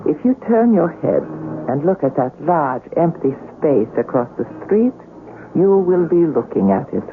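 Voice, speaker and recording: Coquettish radio drama heroine, very lively. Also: female voice, low-pitched, measured pace, background noise. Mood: wry, confiding. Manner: coquettish, poised, playful. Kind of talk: 1970s radio drama acting. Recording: muffled vintage radio, music underneath.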